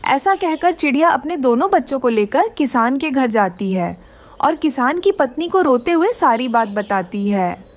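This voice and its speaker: High, lively woman storyteller. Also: moderate pace. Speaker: story narrator. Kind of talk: scripted children's cartoon narration.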